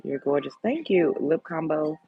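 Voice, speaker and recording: Deep-voiced sultry woman host, gravelly. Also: lively intonation, moderate pace, phone-quality sound. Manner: candid, blunt. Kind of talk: casual livestream chat reading comments.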